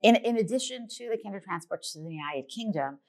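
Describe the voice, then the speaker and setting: A woman's voice: mid-range voice, lively intonation, a little fast. A woman from New York, a documentary filmmaker, giving earnest, reflective answers in an interview.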